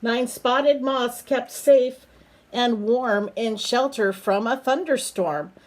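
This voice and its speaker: A woman reading a children's picture book aloud, breaking off for chatty, coaxing questions to a small companion. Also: fairly high pitch. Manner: unhurried, asks simple coaxing questions. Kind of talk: children's read-aloud with chatty asides to a bird.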